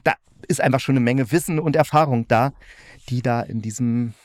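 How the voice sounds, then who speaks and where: A man's voice: mid-range voice, normal speed, dry recording, studio quality. A man hosting a podcast, chatting casually and thinking aloud in loose, colloquial sentences. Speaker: podcast host reviewing radio plays. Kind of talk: casual interview chat.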